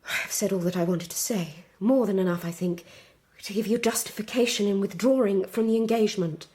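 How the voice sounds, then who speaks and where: An actress playing a resolute, unhappy young Victorian bride-to-be with an English accent, speaking formally and gravely in a period audio drama. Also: female voice, medium pitch, brisk, a little mellow, natural voice. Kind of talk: period radio drama acting.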